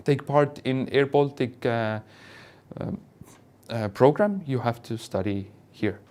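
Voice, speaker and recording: A man speaking as an airline pilot and instructor, answering questions in an online Q&A in a hesitant, measured way. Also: medium pitch, a little mellow.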